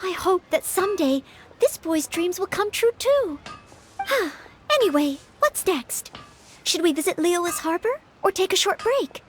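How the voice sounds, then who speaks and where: A woman's voice: very high voice, sing-song, unhurried, a little hiss or hum, full character voice. A woman voicing a polite, eager young maid in a video game, speaking in a sweet, earnest, courteous way.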